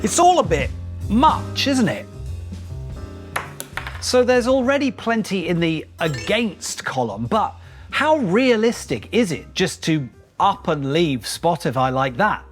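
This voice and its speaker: A man's voice: sits high, big pitch swings, slow, faint music behind. A middle-aged man narrating a scripted vlog as host, chatty and self-deprecating, with wry asides. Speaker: YouTube host.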